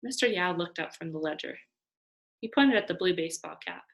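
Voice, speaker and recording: Creaky-voiced woman reading a children's novel aloud. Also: on the low side, lively intonation, fairly quick. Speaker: storybook reader.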